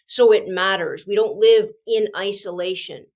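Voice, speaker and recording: A woman's voice: mid-range voice, measured pace. Woman from Canada, congested with a cold, passionate, emphatic, restarts often, podcast host, teacher. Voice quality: screechy when agitated. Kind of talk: casual solo commentary.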